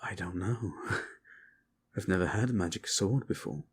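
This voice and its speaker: A man playing a humble, soft-spoken knight captain in a fantasy audio drama, hesitant and deferential. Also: deep voice, expressive, a little slow, slightly rough, heavily acted. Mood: awed. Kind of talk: fantasy audio roleplay acting.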